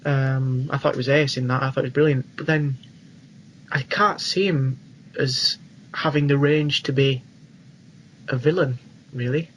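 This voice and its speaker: A man's voice: mid-range voice, fairly even intonation, a little slow, long pauses, a little hiss or hum, rough recording. A young British man co-hosting a film podcast in a casual, informal and emphatic way. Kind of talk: casual chat.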